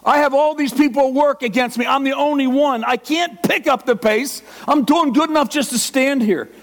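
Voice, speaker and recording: High-voiced man preaching a sermon. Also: normal speed. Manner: impassioned, acts out the inner voice of a struggling believer. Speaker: pastor.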